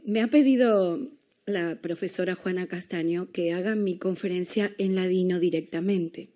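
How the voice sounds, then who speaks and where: A woman from Argentina with an Argentine accent, giving a university lecture with small pauses and hesitations. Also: medium pitch, mellow. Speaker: guest lecturer.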